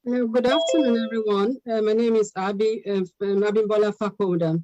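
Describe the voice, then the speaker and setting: A woman in her fifties who grew up in Nigeria, introducing herself on a panel with hesitant pauses and ums. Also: medium pitch, steady tone, background noise. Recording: dry lo-fi audio.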